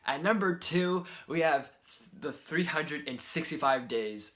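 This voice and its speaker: A man giving casual film-ranking commentary in a soft, near-whispered delivery, rambling and exasperated with many fillers. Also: a little high, expressive, measured pace, slight background noise. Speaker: movie reviewer.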